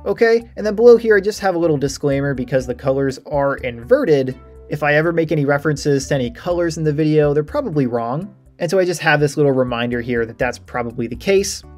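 A man's voice: on the high side, lively intonation, fast talker, a little mellow. Man giving a casual tutorial over music. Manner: informal, explanatory. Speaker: math tutorial creator giving a site tour. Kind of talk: casual screen-share walkthrough. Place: voice-over for a screen recording.

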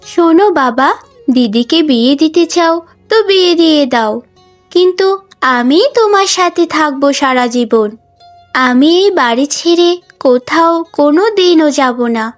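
A woman's voice: high-pitched, lively intonation, normal speed. A woman voicing a sweet, selfless younger daughter in a cartoon story, earnest and affectionate. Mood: earnest, devoted. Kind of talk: Bengali cartoon story dubbing. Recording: music bed under the voice.

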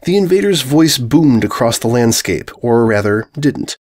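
A man's voice: mid-range voice, animated, unhurried, a little mellow. Man narrating with a dry, wry delivery. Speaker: story narrator. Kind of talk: scripted narration of comic fan fiction.

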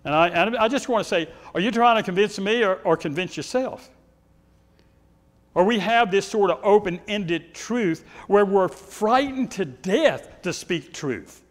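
A middle-aged man with a loud, carrying voice, preaching a sermon in a church sanctuary. He paces and gestures and asks the congregation rhetorical questions. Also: high voice, animated, measured pace, long reverb tail. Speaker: pastor.

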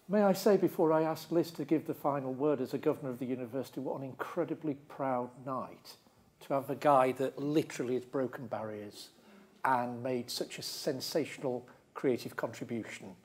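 A man with a rather husky, medium-pitched voice hosts a stage event. He addresses the hall formally and effusively. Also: darkish tone. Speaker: interviewer and host. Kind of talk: closing remarks on stage. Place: large lecture theatre, on stage.

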